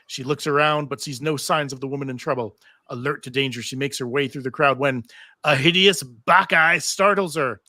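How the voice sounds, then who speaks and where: A man's voice: medium pitch, normal speed, pushed and bright, no room sound, studio quality. A man reading TV script stage directions aloud at a live table read, theatrical with wry asides. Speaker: table read narrator and panel host. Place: live convention panel.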